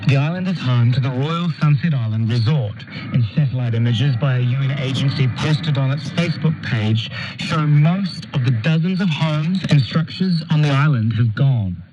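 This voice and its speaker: A man's voice: mid-range voice, lively intonation, normal speed, hardly any pauses. A man delivering a scripted news report as a radio reporter. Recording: noisy, dull shortwave radio reception.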